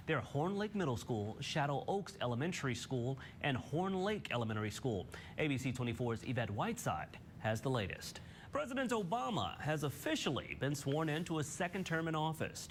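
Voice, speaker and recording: A man's voice: mid-range voice, slight background noise. An American man anchoring morning television news, reading scripted stories in a studio.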